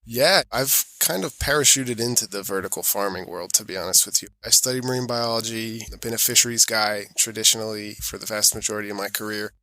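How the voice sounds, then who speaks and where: A man's voice: lowish voice, fairly quick, hardly any pauses, bright, forceful tone, no echo, rough recording. A man with an American accent giving informal, self-effacing answers as an interview guest. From born in New York, raised mostly in Europe. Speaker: podcast guest, sustainability auditor.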